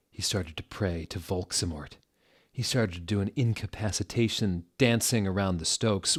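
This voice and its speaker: A man giving a theatrical, mock-serious dramatic reading of comic fan fiction in a deliberate narrator's manner. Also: deep voice, husky.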